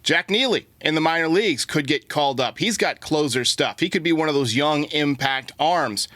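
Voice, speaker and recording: A man's voice: on the high side, expressive, normal speed, bright, forceful tone, no echo. A man hosting a sports podcast, giving blunt, opinionated baseball commentary and answering listener voicemails.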